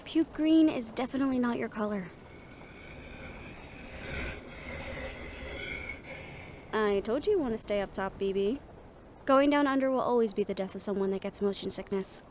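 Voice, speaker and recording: A woman playing a composed, protective fantasy adventurer in an audio roleplay, easygoing, teasing and soft-spoken, with a creaky voice. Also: a little high, fast, a little hiss or hum, close to an everyday voice. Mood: wry.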